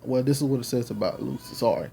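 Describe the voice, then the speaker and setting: A man's voice: medium pitch, animated, fast talker, gravelly, dark tone, slight background noise. A man with a Southern accent giving a Bible lesson at home, earnest and rambling with many fillers. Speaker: Bible teacher. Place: home with children and babies around.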